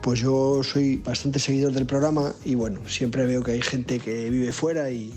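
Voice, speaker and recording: A man's voice: mid-range voice, little pitch movement, normal speed. A man with an accent from Spain leaving an informal, rambling voice message as a listener. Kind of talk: casual voice message. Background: music.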